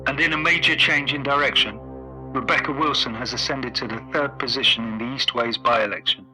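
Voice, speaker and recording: A man's voice: mid-range voice, fairly quick, bright, forceful tone, soft background music, rough recording. A man acting as a formal radio news reporter reading a scripted bulletin in a radio play. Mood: brisk, formal.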